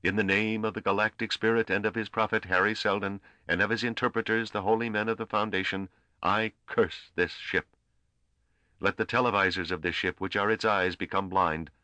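A man voicing a stern, righteous priest in a sci-fi audiobook, declaiming solemn curses and commands in a slow, commanding way. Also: lowish voice, steady tone, pushed and bright, no echo, voice pushed up, low-quality recording. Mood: solemn cursing. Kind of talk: dramatic audiobook character voice.

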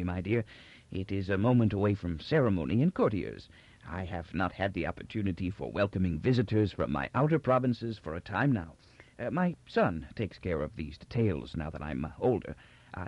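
A man voicing a gentle, confused elderly emperor from a novel, with a quavering, halting voice and a hesitant, forgetful manner. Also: on the low side, no room sound, lo-fi sound. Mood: genial, rambling. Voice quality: quavering, halting old man's voice.

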